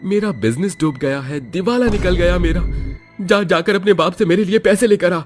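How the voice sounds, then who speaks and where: A man's voice: on the high side, big pitch swings, moderate pace, soft background music, voice pushed up. A man voicing a young husband in a raised, eager voice. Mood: angry. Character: young husband, sweet then demanding.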